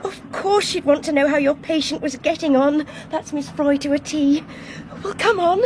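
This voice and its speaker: An actress playing an earnest, highly strung young Englishwoman in a radio drama, with an English accent. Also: normal speed, a little mellow, slight background noise, close to an everyday voice. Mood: relieved, eager. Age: young adult.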